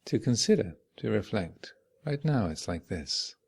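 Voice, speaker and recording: Very slow, low-voiced man guiding meditation. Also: big pitch swings, vocal fry, breathy edge. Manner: measured, contemplative, short phrases with long pauses. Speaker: meditation teacher leading a guided session. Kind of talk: slow guided meditation with reflective pauses. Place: meditation retreat hall.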